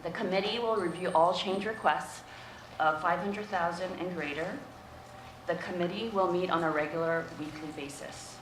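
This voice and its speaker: Female staff presenter, raspy and sick-sounding, measured formal delivery with hesitations, noisy reverberant room recording. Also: lowish voice. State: coming down with a cold, throat-clearing. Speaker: staff presenter at a public board meeting. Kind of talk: formal briefing to a board. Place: indoor board meeting room. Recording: echoey meeting room with clear background noise.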